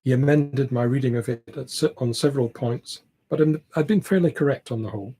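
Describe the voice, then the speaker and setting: A man with a slightly husky voice reading a classic novel aloud, now and then stumbling over words. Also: medium pitch, fairly quick, warm tone. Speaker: amateur audiobook reader, library team member.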